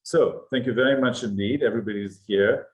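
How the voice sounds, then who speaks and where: A man moderating a roundtable panel, introducing the guests in a halting way with many fillers. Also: mid-range voice, darkish tone.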